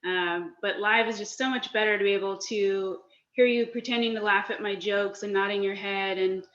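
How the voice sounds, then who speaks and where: A woman's voice: medium pitch, steady tone, moderate pace, a bit bright. A woman in her forties from Northern California, a personal chef giving a casual, friendly presentation from her home kitchen over a video call. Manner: friendly, chatty, a little nervous. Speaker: guest speaker, personal chef. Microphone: laptop or webcam mic on a video call. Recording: echoey, dull video-call audio.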